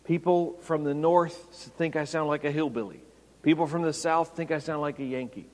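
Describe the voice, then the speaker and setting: A man's voice: fairly high pitch, moderate pace, darkish tone, big-room sound. A man preaching a sermon, explaining scripture and raising his voice when quoting. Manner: expository preaching, raises voice for quoted lines. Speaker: pastor.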